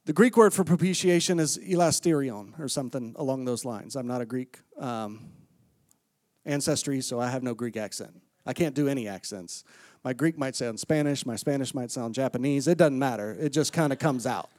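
A man's voice: medium pitch, normal speed, a little mellow, dry recording, studio quality. A middle-aged man from Florida preaching a sermon to a congregation, conversational and self-deprecating, reading scripture aloud as he goes. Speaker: guest preacher. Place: church sanctuary with congregation.

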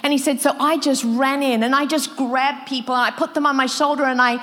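A woman's voice: high-pitched, fairly even intonation, a little fast, fairly bright tone. Woman preaching in a reverberant hall. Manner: emotional, quoting others with rising intensity. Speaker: pastor. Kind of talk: sermon storytelling.

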